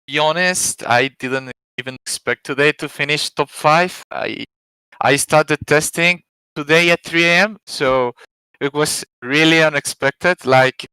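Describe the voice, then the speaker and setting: Bright, crisp-voiced hesitant male driver. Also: a little high, dry recording, rough recording. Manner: hesitant, halting with fillers. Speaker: racing driver being interviewed.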